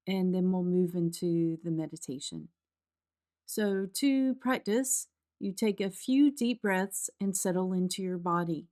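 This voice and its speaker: A woman narrating a scripted guided meditation in a soft, gentle, calm and soothing way, as the guide of the practice. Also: mid-range voice. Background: instrumental music.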